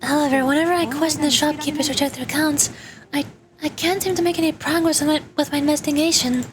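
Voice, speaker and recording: A woman's voice: high voice, fairly even intonation, fast, natural voice. A woman reading the part of a troubled young clerk who speaks hesitantly and stumbles over her words. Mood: worried. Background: game music.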